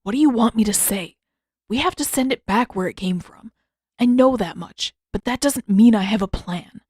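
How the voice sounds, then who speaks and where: A woman's voice: fairly high pitch, moderate pace, a little mellow, slightly rough, no room sound. Teenage prince voiced by a woman, blunt, protective, urgent, dryly understated, fantasy story dialogue, dry close sound. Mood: dry, frustrated. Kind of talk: fantasy audiobook character voice.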